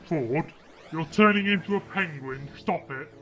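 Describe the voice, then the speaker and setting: A woman's voice: low voice, measured pace, a bit bright, faint music behind. A woman playing a dazed, bewildered traveller describing strange visions in a comic sci-fi audio drama. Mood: dazed, alarmed.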